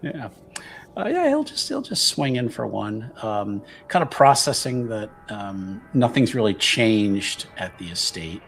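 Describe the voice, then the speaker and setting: A man's voice: on the low side, big pitch swings, measured pace, vocal fry, soft background music. A man playing a tabletop roleplaying game, narrating casually and hesitantly as he thinks aloud.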